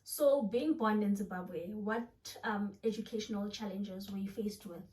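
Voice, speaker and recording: A woman's voice: mid-range voice, normal speed, darkish tone. A woman interviewer asks polite, direct questions in short prompts. She sits a little away from the microphone, so her voice sounds somewhat distant.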